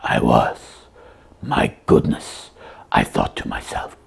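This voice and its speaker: A performer voicing a boastful, bumbling puppet doctor detective in a comic puppet show, pompous and very raspy. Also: slow, close to an everyday voice. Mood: pompous wonder. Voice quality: very raspy, gravelly, husky.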